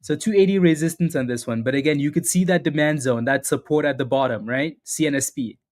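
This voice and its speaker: A man hosting a live stock trading stream, chatting casually and upbeat, repeating phrases and calling out viewers by name, a little hoarse and clearing his throat now and then. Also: a little high, quick pace, darkish tone. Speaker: stock trading livestream host. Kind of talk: live market commentary with chat shout-outs.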